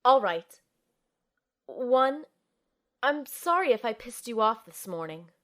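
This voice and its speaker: A woman's voice: fairly high pitch, slow pace, heavily acted. A woman playing an earnest, determined young operative, telling and acting out her mission expressively in an audio drama. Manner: expressive, storytelling. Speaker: narrator and lead character.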